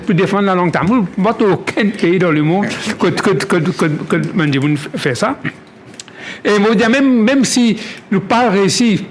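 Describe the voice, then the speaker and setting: Man, slightly raised pitch, spontaneous halting delivery with frequent repetitions, faint noise behind. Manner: halting, repeats words and restarts often. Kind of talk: spontaneous testimony in creole-tinged French.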